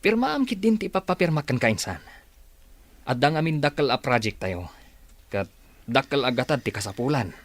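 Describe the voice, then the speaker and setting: An actor with a Filipino Ilocano accent playing a pushy, mocking schemer in a radio drama. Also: male voice, medium pitch, big pitch swings, normal speed, long pauses, no room sound. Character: pushy scheming male cousin after a rich relative's signature.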